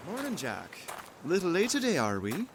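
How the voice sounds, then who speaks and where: Breezy cop, highish animated voice. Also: male voice, moderate pace, very noisy, exaggerated voice. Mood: teasing. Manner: casual, wry. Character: breezy, teasing, cynical male police detective colleague. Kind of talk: audio drama acting.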